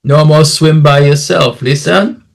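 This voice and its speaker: Man putting on an excitable, blunt islander. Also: a little high, a little slow, vocal fry. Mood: friendly, teasing.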